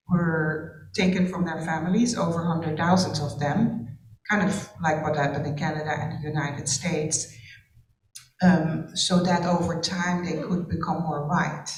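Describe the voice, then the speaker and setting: Dutch-first woman from curacao thinking out loud on a panel, lots of restarts, echoey room. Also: low-pitched, a little hiss or hum. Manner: reflective, searching, with restarts and fillers. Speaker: panel participant. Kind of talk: panel discussion. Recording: roomy sound from far off the mic.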